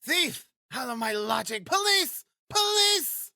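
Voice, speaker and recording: A man's voice: very high-pitched, sing-song, slow, pushed and bright, no echo, clean studio sound, voice pushed up, exaggerated voice. A man voicing an outraged landlady in an audiobook, screeching in exclamations. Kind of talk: dramatic audiobook character acting.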